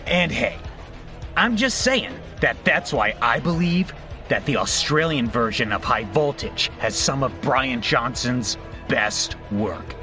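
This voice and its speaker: An actor playing a bumbling biker poser in an audio drama, stammering and defensive. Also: male voice, medium pitch, animated, slow. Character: bumbling male biker poser, flustered, backpedaling in bars. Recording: music behind the voice.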